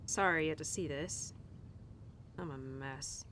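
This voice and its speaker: A woman playing an upset young cowgirl talking tenderly and haltingly to her horse in an intimate audio roleplay. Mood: sad, apologetic. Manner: halting, casual, sighing, talking softly to an animal. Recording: clear background noise with low rumble.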